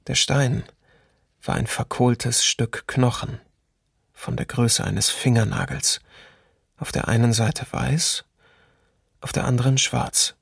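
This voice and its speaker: A sombre memoir narrator speaks very slowly and with restraint. The voice is gravelly and husky, close and dry, as in a documentary radio play. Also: male voice, mid-range voice, steady tone, no room sound, heavily acted. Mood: sombre, reflective. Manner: slow, measured, restrained. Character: sombre male memoir narrator recalling his childhood at a ravine. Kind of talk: scripted literary reading in a documentary radio play.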